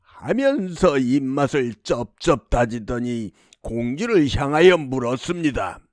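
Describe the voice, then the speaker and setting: A man's voice: mid-range voice, very animated, unhurried, no room sound, clean studio sound. A man narrating a scripted fairy tale as a storyteller.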